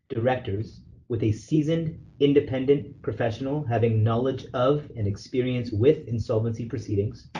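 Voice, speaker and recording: A man lawyer reading a formal legal letter aloud on a conference call, in a measured, formal way. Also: mid-range voice, mellow. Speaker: lawyer, representative counsel leading a call. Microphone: telephone conference call. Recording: dull conference phone call with noise.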